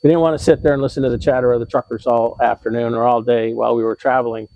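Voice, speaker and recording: A man with a mellow, easygoing voice gives a casual how-to explanation. He talks conversationally and a little haltingly. The sound is dry and slightly dull, with very little room on it. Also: medium pitch, little pitch movement, dry recording. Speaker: vlog host giving RV tips.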